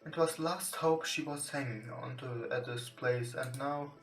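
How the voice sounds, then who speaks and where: A man who is not a native English speaker reads visual novel text aloud as a let's play host, haltingly and with stumbles over words. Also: medium pitch. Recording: roomy echo, distant from mic. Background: soft guitar game music.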